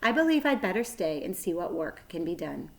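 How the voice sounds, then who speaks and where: A woman's voice: lowish voice, big pitch swings, brisk, gravelly. A woman voicing a wise, self-assured female spider in a children's storybook, precise and matter-of-fact. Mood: reluctant. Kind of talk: children's storybook read-aloud.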